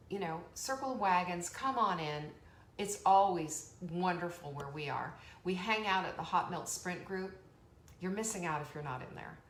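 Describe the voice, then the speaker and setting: A woman hosting a live online motivational show, chatty and energetic, laughing often and reading out viewer comments. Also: fairly low pitch. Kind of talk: motivational live-stream talk. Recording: echoey room with faint background noise.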